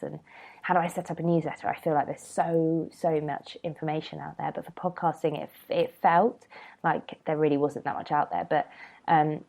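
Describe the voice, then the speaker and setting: A woman's voice: low-pitched, gravelly, a little mellow. A woman hosting a casual solo podcast, rambling and chatty with lots of ums, sounding a bit nasal from a cold. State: has a cold, slightly nasal. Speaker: podcast host.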